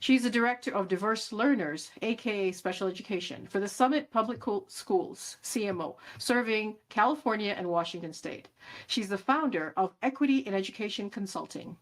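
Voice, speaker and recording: A woman hosting an interview talk show, giving a measured, formal introduction of her guest and asking questions. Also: mid-range voice.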